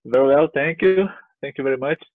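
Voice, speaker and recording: A man's voice: mid-range voice, a little fast, no room sound. A man in his thirties with a Brazilian accent, giving an informal group report and often rephrasing himself. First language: Portuguese. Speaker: sketching group organiser. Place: room at home. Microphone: headset on a video call. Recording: dry, thin phone-like call audio.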